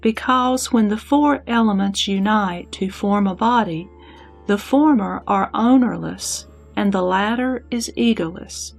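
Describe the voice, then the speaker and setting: Slow, creaky-voiced woman as contemplative bodhisattva. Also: medium pitch, soft background music. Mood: calm, contemplative. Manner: contemplative, deliberate. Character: sick bodhisattva reflecting calmly on illness. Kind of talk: scripture audio drama.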